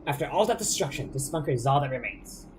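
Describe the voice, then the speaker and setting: A man voicing a grandiose, vengeful spirit that guards a ruined bunker, reading its lines in a slow, theatrical, declamatory way. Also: mid-range voice, very animated, a little hiss or hum, close to an everyday voice.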